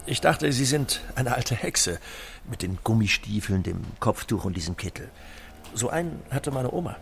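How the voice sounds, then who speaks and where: An actor playing a slick, self-assured middle-aged car dealer in a radio drama, smooth-talking and expressive. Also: male voice, medium pitch, moderate pace, a little hiss or hum. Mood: flattering, coaxing. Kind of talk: German audio drama acting.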